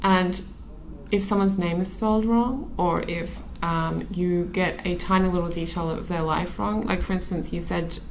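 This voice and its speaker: A woman's voice: on the low side, steady tone, moderate pace, creaky, slight background noise, low-quality recording. A woman in her thirties from Australia, a magazine editor talking as a podcast guest, earnest and thoughtful, with frequent ums and restarts. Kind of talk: relaxed conversational interview.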